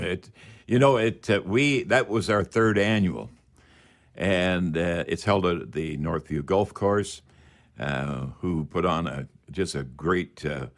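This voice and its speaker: A man hosting a casual live radio show, talking in a folksy, rambling way. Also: deep voice.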